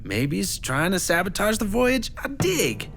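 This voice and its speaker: A woman's voice: medium pitch, very animated, a little slow, a bit bright, a little hiss or hum. A woman playing a cool, laid-back, needling passenger in an audio drama, full of hipster slang. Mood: cool, teasing.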